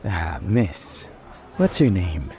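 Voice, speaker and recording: A man's voice: deep voice, sing-song, drawn-out words, dark tone, faint music behind, exaggerated voice. A man playing a sleazy, predatory rich playboy in a radio serial, with an insinuating drawl. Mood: leering. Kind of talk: radio serial drama acting.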